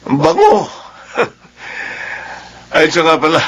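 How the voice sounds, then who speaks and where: A man's voice: a little high, huge pitch swings, very slow, fairly bright tone, muffled recording, exaggerated voice. A man playing an ambitious, boastful middle-aged husband with a Filipino accent in a drama. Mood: pleased, boastful. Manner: boastful, eager.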